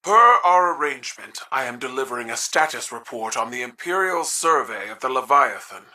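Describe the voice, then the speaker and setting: Fierce, forceful alien warrior empress. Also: female voice, extremely low pitch, expressive, normal speed, distant mic, heavily acted, rough recording. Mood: formal, measured. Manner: formal, imperious. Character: proud, stern alien warrior empress burdened by failure. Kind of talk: dramatic game voice acting.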